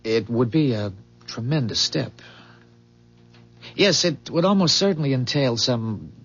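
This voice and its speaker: An earnest, proud inventor from a 1970s radio play. His voice is a little rough and moves animatedly up and down as he explains in formal, careful phrases. The recording is dull and old. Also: mid-range voice, animated, unhurried, a bit bright, full character voice. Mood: grave, visionary. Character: earnest, idealistic male inventor, proud of his revolutionary engine. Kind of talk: 1970s radio drama acting.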